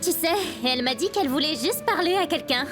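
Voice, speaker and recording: A woman's voice: high voice, lively intonation, fairly quick. A woman playing a cheeky, talkative teenage schoolgirl in a French video game dub, playful and exclamatory. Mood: teasing. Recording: music behind the voice.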